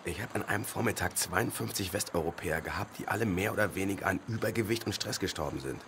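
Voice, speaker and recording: An overworked afterlife official in a radio play, grumbling matter-of-factly. The voice is deep and quick, with clear noise in the background. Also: male voice. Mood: exasperated. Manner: complaining, matter-of-fact.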